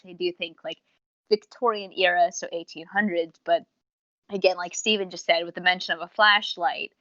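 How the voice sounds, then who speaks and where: A woman's voice: lowish voice, bright, forceful tone, no echo, highs cut off. A woman co-hosting a casual fan discussion, talkative and rambling, with slangy phrasing and acted-out asides. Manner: rambling, talkative, slangy, acts out imagined remarks. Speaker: podcast co-host reviewing audio dramas.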